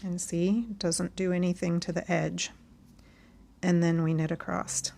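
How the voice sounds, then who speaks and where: A woman hosting a casual knitting tutorial, chatting along as she knits and a bit hoarse from losing her voice. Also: on the low side, level tone, unhurried, dark tone. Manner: chatty and friendly, talks while knitting.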